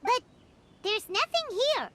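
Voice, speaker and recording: A woman voicing a tiny, excitable childlike fairy companion who spooks easily, in a video game. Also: takes it slowly, noisy background, full character voice. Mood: puzzled. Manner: squeaky, exclamatory, speaks of self in third person. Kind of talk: video game character acting.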